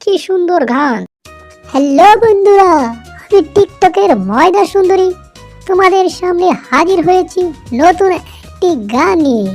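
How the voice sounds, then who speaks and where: A woman's voice: very high-pitched, measured pace, a little mellow, soft background music. A woman voicing a vain young female fox in a cartoon, showy and gushing. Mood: dismayed, scheming. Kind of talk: cartoon voice acting.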